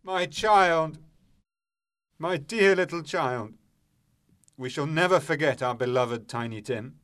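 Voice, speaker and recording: An actor playing a gentle, grief-stricken poor father in a storybook, with a trembling voice. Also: fairly low pitch, normal speed, no room sound, clean studio sound, close to an everyday voice. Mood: grieving.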